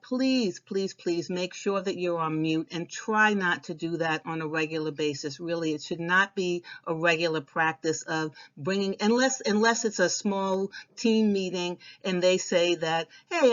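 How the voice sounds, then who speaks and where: A woman giving an informal instructional presentation in a conversational way, repeating advice for emphasis. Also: on the low side. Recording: dull, lo-fi voice recording.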